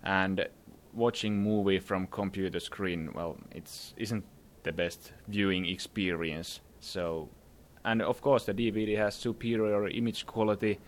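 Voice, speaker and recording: Low-voiced young man. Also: steady tone, a little slow, hardly any pauses. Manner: hesitant, many fillers, laughs while talking. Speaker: filmmaker guest. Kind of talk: casual interview answers. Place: conference summit venue.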